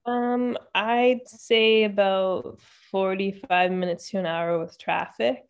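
A woman from Ontario, a podcast guest chatting casually and relaxed, often pausing on "um". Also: mid-range voice, clean tone, dull audio. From Ontario, Canada. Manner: relaxed, many "um" fillers, thinking aloud. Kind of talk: casual interview chat.

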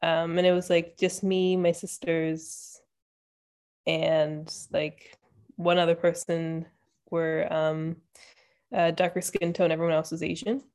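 A woman from Ontario, a podcast guest chatting casually and relaxed, often pausing on "um". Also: on the low side. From Ontario, Canada. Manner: relaxed, many "um" fillers, thinking aloud. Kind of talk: casual interview chat.

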